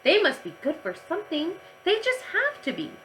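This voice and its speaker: A woman's voice: very high-pitched, huge pitch swings, moderate pace, fairly bright tone, a little hiss or hum, voice pushed up, exaggerated voice. A woman voicing an earnest, stubborn young schoolgirl in a children's storybook. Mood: puzzled. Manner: earnest, insistent.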